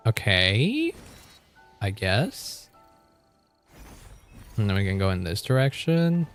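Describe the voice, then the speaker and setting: A man's voice: fairly low pitch, wildly swooping pitch, takes it slowly, clear, resonant tone, soft background music. A man livestreaming a game, chatting casually with viewers in a rambling, informal way with some mild swearing.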